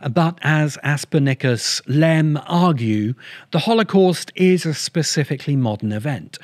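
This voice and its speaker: A middle-aged man delivering a scripted literary essay with emphatic, measured, dramatic phrasing into a close microphone. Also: mid-range voice, no room sound. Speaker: video essayist and host. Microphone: close studio-style microphone on stand.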